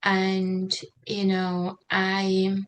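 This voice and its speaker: A woman from Slovakia with a Slovak accent tells her personal story as an interview guest, haltingly, searching for words and repeating herself. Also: medium pitch, completely flat delivery. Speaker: interview guest, writer and mentor. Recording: noticeable background noise.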